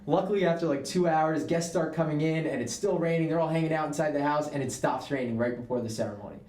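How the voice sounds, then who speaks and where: A man's voice: fairly high pitch, fast talker, a little mellow, roomy sound. A young man from New York, co-hosting a show, telling stories in an enthusiastic, chatty way. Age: young adult. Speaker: co-host, real estate agent. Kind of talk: casual chat.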